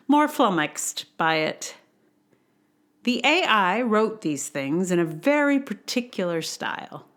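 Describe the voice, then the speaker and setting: A woman hosting a podcast, speaking in a conversational, wry way with emphatic stresses. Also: medium pitch. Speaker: podcast host and blogger. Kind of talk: casual intro chat and read-aloud blog.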